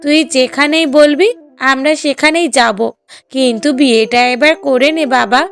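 Woman voicing cartoon village mother, middle-aged, high-pitched, gravelly edge, coaxing, fretful, affectionate. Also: moderate pace, a bit bright. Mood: agreeable, inquisitive. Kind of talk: cartoon drama voice acting.

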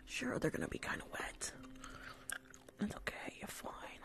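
A woman's voice: measured pace, lightly acted. A young woman playing a rude, impatient, sassy nail technician in a whispered ASMR roleplay, close to the microphone. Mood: dismissive. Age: young adult. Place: small decorated room indoors. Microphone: fluffy-covered microphone, close up.

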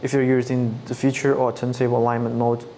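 Flat-toned man explaining software steps. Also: mid-range voice, fairly quick, a little hiss or hum, rough recording. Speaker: product trainer. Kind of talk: scripted tutorial narration. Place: studio set with dark backdrop. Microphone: lapel mic.

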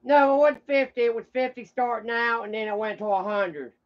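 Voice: mid-range voice, a little fast. Blunt, foul-mouthed streamer with muffled sound. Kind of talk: casual rambling livestream talk to viewers.

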